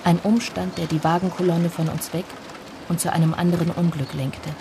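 An actor playing a somber villager who narrates a radio drama in a measured, brooding way. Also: mid-range voice, little pitch movement, noisy background, natural voice. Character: somber village narrator recalling an old tragedy. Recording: music bed under the voice. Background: music.

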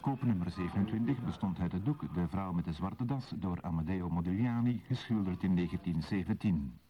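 A man's voice: deep voice, expressive, brisk. A man narrating in a formal, scripted reporting style for a radio drama. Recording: dull lo-fi sound, crowd murmur.

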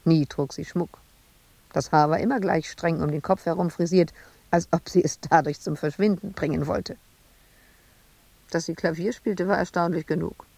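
A woman's voice: lowish voice, steady tone, normal speed, vocal fry, a little mellow, slightly rough, dry recording. An actress playing an embittered, intense woman sculptor confined in an asylum, in a radio drama monologue. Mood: brooding, bitter.

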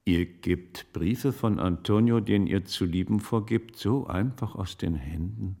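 An actor in a German radio play playing a shrewd magistrate's investigator in old Italy, with a measured, formal, questioning manner. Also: male voice, on the low side, creaky, dark tone, husky, exaggerated voice. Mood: probing, measured.

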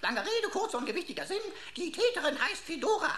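An actress in a German radio play playing a pompous, sarcastic pocket computer with florid, mock-formal, theatrical delivery. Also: female voice, very high voice, very animated, fast talker, fairly bright tone, echoey room, slight background noise, full character voice. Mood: pompous, triumphant. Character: pompous, emotional talking pocket computer, a sarcastic sleuth sidekick.

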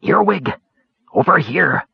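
A man voicing a gruff, wounded male warrior who speaks in terse growls. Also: fairly low pitch, sing-song, moderate pace, no room sound, full character voice. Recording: dull lo-fi dry recording.

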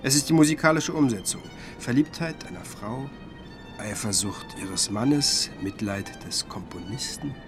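An actor playing an earnest, curious younger music scholar in a radio drama, speaking politely and thoughtfully. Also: male voice, medium pitch, measured pace, lightly acted. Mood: earnest, keen. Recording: music behind the voice.

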